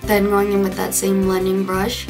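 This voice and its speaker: A young woman with a hoarse, raspy, congested voice, chatting casually through a makeup tutorial with plenty of fillers. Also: mid-range voice, completely flat delivery, normal speed. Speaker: beauty vlogger. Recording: clear background music.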